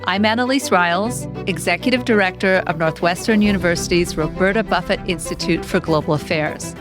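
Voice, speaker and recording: A middle-aged woman hosting a podcast, reading a scripted intro and asking interview questions with upbeat, lively emphasis. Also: on the low side, fairly quick, over music. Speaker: podcast host.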